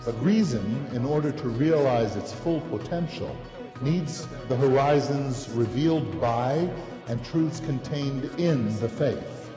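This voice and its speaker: A man delivers a slow, deliberate formal address at a middling pitch. His voice rings through a large reverberant hall. Clear music plays underneath. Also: expressive. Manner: measured, deliberate oratory. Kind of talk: formal speech excerpt.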